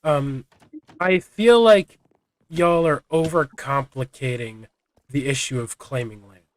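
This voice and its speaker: A hoarse man joining a casual roleplay debate late, speaking hesitantly and repeating himself. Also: mid-range voice, takes it slowly, no room sound. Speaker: latecomer to the debate.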